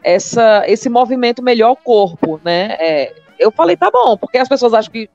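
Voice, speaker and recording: A woman's voice: on the high side, animated, normal speed, a little mellow, no room sound, muffled recording, faint music behind. A woman in her thirties from Maceió with a northeastern Brazilian accent, a comedian telling her story in a casual interview and repeating words for emphasis. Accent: Northeastern Brazilian (Alagoas). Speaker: comedian guest.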